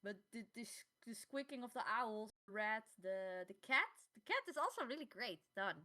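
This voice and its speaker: A woman co-hosting a podcast in casual chat, halting and repeating words as she finds her phrasing. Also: fairly high pitch, lively intonation, pushed and bright. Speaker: podcast co-host.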